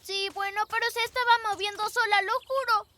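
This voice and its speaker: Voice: very high-pitched, steady tone, a little fast, bright, forceful tone, a little hiss or hum. An actor voicing an earnest little boy rabbit superhero in a Latin American Spanish cartoon dub. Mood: protesting. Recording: music behind the voice. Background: children's cartoon music.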